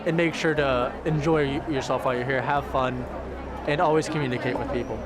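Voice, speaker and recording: A man's voice: medium pitch, fairly even intonation, brisk. An eighteen-year-old man from Ohio giving a straightforward testimonial and plain advice. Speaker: student in a study abroad program. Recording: loud crowd noise around him.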